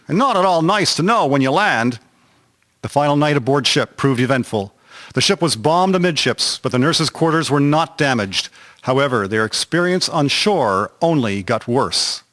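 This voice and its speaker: A man's voice: fairly high pitch, a bit bright. A man reading scripted historical narration for a radio drama series.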